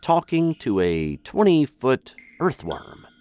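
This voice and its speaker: An actor playing a bewildered, polite American captive in a comic radio sitcom. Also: male voice, mid-range voice, big pitch swings, takes it slowly, darkish tone, smooth. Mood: weary disbelief. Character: bewildered, polite American man chained in a dungeon. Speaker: narrator star of the show.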